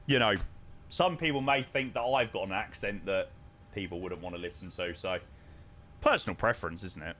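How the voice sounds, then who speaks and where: A man's voice: sits low, normal speed, bright, forceful tone, a little hiss or hum. A young man with a London accent, chatting casually with viewers while flying a simulator and making radio calls. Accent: London English. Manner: chatty, joking, thanking viewers. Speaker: flight sim streamer.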